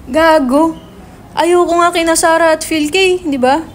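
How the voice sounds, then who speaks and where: A woman's voice: very high-pitched, unhurried, a little hiss or hum. A woman playing a brash, foul-mouthed young male writer in a dramatic script reading, slangy and insistent. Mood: argumentative.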